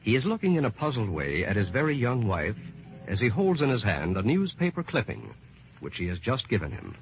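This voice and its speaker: A man narrating a radio drama as announcer, in a grand, deliberate, old-time dramatic style. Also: on the low side, big pitch swings, soft background music. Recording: dull old radio recording.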